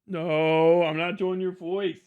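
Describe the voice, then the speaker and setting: Higher-voiced man rambling on a livestream. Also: level tone, takes it slowly, smooth, dry recording, phone-quality sound. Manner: profane, rambling. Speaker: livestream host. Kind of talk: casual livestream chat.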